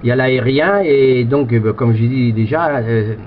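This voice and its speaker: A man's voice: mid-range voice, a little slow. A man chatting casually as an amateur radio operator, with a rambling, repetitive way of talking. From France. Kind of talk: casual radio contact chat. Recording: muffled, noisy two-way radio sound.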